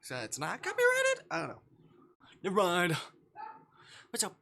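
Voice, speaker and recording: A man chatting casually on a game livestream, thinking aloud in a halting way. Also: high-pitched, wildly swooping pitch, vocal fry, pushed and bright. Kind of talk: casual livestream chat while playing a game.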